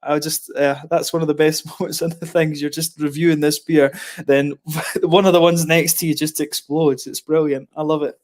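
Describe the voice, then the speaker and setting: A man hosting a casual chat on a stream, talking in halting starts and laughing often. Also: a little high, level tone. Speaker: stream host.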